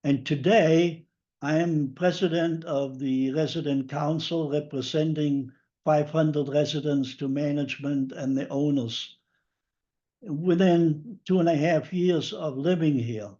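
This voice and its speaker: Guy on a zoom call, slow and measured, kinda higher voice, muffled audio. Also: fairly even intonation, dark tone. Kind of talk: casual meeting talk. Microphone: video call.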